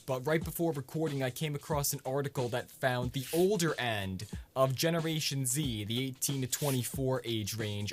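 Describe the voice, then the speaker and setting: A man's voice: medium pitch, a little hiss or hum. A man hosting a scripted explainer vlog in a conversational, punchy way with casual asides. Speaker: video host.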